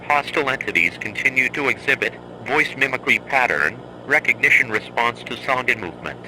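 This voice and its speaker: A man playing a stern, composed emergency announcer in a horror drama, giving measured, clipped instructions as a scripted broadcast. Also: mid-range voice, animated, pushed and bright, slight background noise, low-quality recording. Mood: grave, measured.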